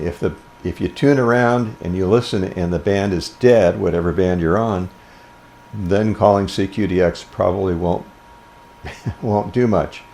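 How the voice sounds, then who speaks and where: An older American man giving an informal explanatory tutorial as an instructor, unhurried, with halting pauses. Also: low voice, a little mellow. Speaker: ham radio instructor.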